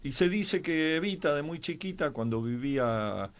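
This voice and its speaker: Argentine man explaining history haltingly. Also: on the high side, lively intonation, a little hiss or hum. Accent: Argentine Spanish. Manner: halting, explanatory, with drawn-out pauses. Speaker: guest commentator on history. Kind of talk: informal historical discussion.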